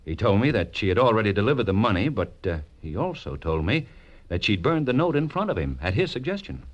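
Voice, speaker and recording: Nervous young executive, lowish voice, hesitant, urgent, earnest, 1940s radio drama style. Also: male voice, very animated, quick pace, highs cut off. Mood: worried, earnest. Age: 30s. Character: anxious, ambitious young businessman in a blackmail jam.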